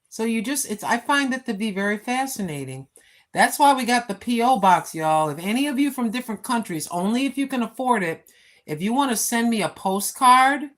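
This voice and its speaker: A woman in her sixties chatting casually on a live stream from home, rambling with many fillers and talking straight to her viewers. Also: on the high side. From United States. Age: 60s. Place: home, seated. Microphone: phone.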